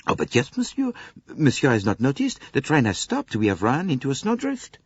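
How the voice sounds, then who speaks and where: A man's voice: mid-range voice, wildly swooping pitch, brisk, slightly rough, no echo, dull audio, pitched above the performer's own voice, exaggerated voice. A man voicing an exasperated French-accented train conductor, dramatic and put-upon, in an audiobook. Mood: explaining.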